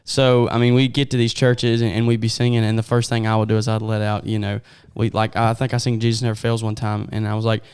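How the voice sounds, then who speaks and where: A man's voice: on the low side, flat delivery, fast, hardly any pauses, darkish tone, clear, resonant tone. A man co-hosting a casual interview, chatty and telling stories with many "like"s. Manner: chatty storyteller, frequent "like". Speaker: podcast co-host.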